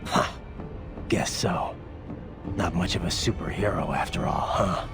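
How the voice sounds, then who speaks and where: Game cutscene: tough, terse cyborg soldier, gravel-throated, defiant, music behind. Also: male voice, low-pitched, a little slow. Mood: wry, resigned. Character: brooding male cyborg soldier, tough, sardonic, haunted by violent past. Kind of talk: video game cutscene acting.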